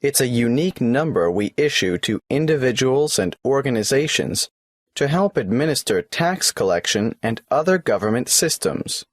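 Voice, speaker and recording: A man's voice: medium pitch, big pitch swings, moderate pace, dry recording, clean studio sound. A man playing a tax office clerk in a scripted exam dialogue, patiently asking form questions in a matter-of-fact way. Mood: businesslike. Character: polite adult male government clerk taking an application.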